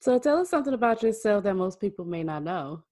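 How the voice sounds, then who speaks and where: A woman's voice: medium pitch, big pitch swings, fast talker, mellow, no room sound, clean studio sound. A woman hosting a podcast, chatting enthusiastically with her guest and laughing often. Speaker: podcast host. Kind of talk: casual interview chat.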